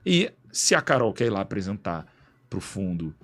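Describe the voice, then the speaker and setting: A man being interviewed as a guest in a radio studio, explaining in a relaxed, slangy way with many restarts. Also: sits low, normal speed. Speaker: guest, executive director of an institute.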